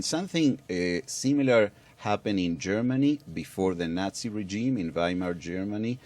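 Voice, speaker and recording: A man's voice: sits low, a little mellow. A middle-aged man from Argentina, a guest on a public affairs program, explaining at length with hesitations and restarts. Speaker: guest expert.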